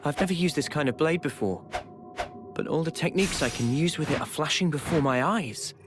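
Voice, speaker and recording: A man voicing an earnest, gentle young swordsman in a video game cutscene, speaking mildly with some hesitance. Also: on the high side, a little fast, over music, natural voice. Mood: wondering.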